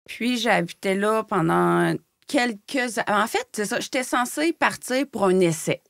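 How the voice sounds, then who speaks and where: Woman w a thick-of-slang shawinigan quebec french accent, medium pitch, frank storytelling, hunts for words, dry room. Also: lively intonation, a little slow, vocal fry, no room sound. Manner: colloquial, frank, searching for words. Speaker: podcast guest, former model and designer. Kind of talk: candid personal storytelling in casual chat.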